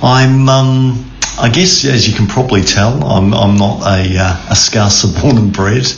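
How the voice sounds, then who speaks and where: A middle-aged man with a New Zealand accent, a theatre chief executive answering radio interview questions in a measured, thoughtful way with frequent ums and restarts. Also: on the low side, steady tone, gravelly. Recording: roomy, dull sound with faint background noise.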